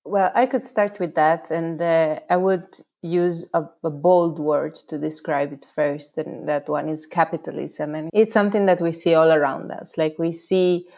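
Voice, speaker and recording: Low-voiced Croatian-accented woman, slightly dull audio. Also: steady tone, a little slow, few pauses, mellow. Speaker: interview guest, urban activism project founder. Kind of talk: conversational interview answers.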